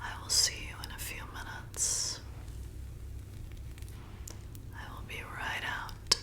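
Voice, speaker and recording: A woman playing a flirty, hypnotic vampire bartender in an ASMR roleplay, soothing and coaxing as she repeats herself. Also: natural voice. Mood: pleased, commanding. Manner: soothing, coaxing, slow and repetitive.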